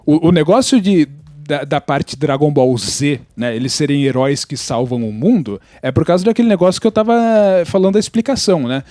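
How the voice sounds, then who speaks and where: A Brazilian man speaks in a fairly high yet very mellow voice, recorded close and dry. He explains things in an opinionated way, as in a casual podcast chat with a Brazilian Portuguese accent. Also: lively intonation, brisk, no room sound. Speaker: podcast participant.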